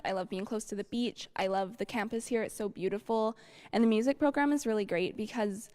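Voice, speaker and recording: A young woman from British Columbia answering casually and enthusiastically as a student panelist. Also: on the high side. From Abbotsford, British Columbia, Canada. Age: young adult.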